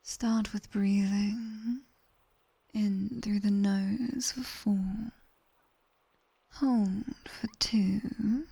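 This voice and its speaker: A woman's voice: mid-range voice, steady tone, heavily acted. Woman as a whispering British nightmare demoness tutor. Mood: calm, instructing. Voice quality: breathy, soft whisper. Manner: slow, deliberate, teasing, dryly stern. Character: sharp-tongued nightmare demoness tutor, teasing and commanding. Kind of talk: whispered ASMR roleplay. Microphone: close binaural microphone.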